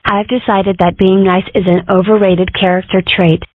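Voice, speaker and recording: A woman's voice: mid-range voice, level tone, moderate pace, no echo, close to an everyday voice. A woman voicing an opinionated, mischievous, sarcastic schoolgirl in a comic strip dub, wry and argumentative. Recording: muffled, phone-like narrow sound.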